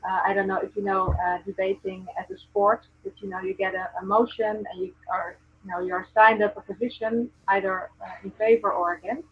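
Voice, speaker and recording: A Dutch-accented woman speaks earnestly as a guest, pausing on ums and restarting her sentences. Her voice is a little husky. The audio is thin and phone-like, as from a video call. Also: mid-range voice, fairly even intonation. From Netherlands. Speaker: guest, presence coach. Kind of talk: casual video call chat.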